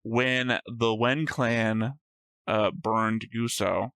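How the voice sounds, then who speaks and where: A man's voice: on the low side, fairly even intonation, drawn-out words, a bit bright, smooth, no echo. A man co-hosting a reaction show, reasoning aloud in a casual, argumentative discussion of story theories. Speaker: co-host of an anime reaction show.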